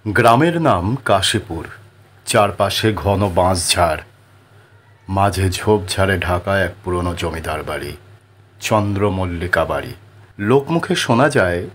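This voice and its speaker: A man with a fairly low voice narrates a scripted horror tale. He builds suspense with dramatic delivery and deliberate pauses. Music is clearly heard behind him. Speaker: storyteller.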